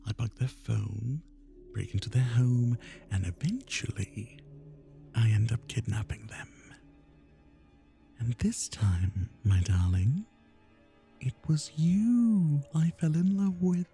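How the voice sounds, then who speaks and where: A man playing an obsessive, lovesick and menacing kidnapper in a roleplay ASMR monologue, with a breathy voice that often drops into whispers and theatrical, lingering emphasis. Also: medium pitch, takes it slowly, long pauses, warm tone, soft background music, heavily acted. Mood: possessive, menacing.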